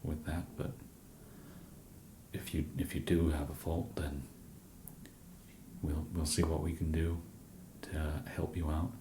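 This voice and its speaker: An English man in an ASMR roleplay as a shop genius, speaking softly and hesitantly with many fillers and a dry, self-deprecating humour. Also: a bass voice, level tone, takes it slowly, long pauses, reverberant, background noise. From England.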